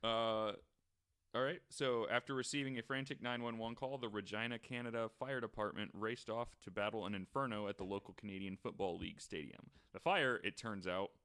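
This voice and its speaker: Low-voiced man, casual podcast storyteller. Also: steady tone, a little fast, a bit bright, clear, resonant tone. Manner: casual, profane, wry. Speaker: podcast co-host. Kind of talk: casual chat, reading out short funny news items.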